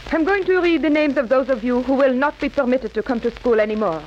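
An actress plays a gentle, dutiful schoolteacher in a 1940s radio drama. Her voice is high and she speaks carefully and formally. The recording is muffled, with vintage hiss. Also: quick pace, close to an everyday voice. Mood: subdued, earnest. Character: dutiful, gentle schoolteacher woman under occupation.

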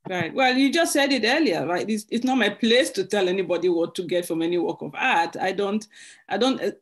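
A woman author from Cameroon answering interview questions thoughtfully, halting and restarting often. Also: mid-range voice, lively intonation.

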